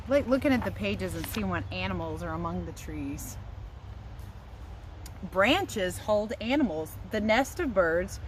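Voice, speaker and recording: A woman teacher giving a chatty, expressive read-aloud lesson for young children, speaking outdoors. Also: medium pitch, noisy background. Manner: chatty, expressive asides and questions to listeners. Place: outdoors in a yard.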